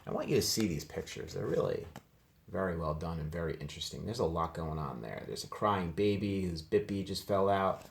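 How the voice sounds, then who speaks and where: Male read-aloud teacher, very deep voice, casual and simple explanations pitched at first graders. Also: animated, a little fast, vocal fry, slight background noise. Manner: explains pictures and words simply for young children. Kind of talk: children's picture book read-aloud with casual commentary.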